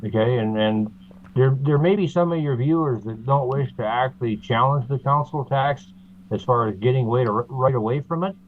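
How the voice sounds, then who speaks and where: A man's voice: mid-range voice, moderate pace, a little hiss or hum. Man on a tinny remote call line. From Canada. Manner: steady, explaining, many uh fillers. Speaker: interview guest. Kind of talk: explanatory interview talk.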